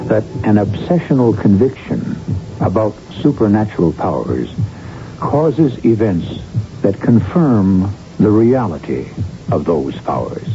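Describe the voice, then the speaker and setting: A man hosting a radio drama, giving scripted, reflective narration in a measured, formal, philosophical manner. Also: fairly low pitch. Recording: muffled old radio broadcast with music.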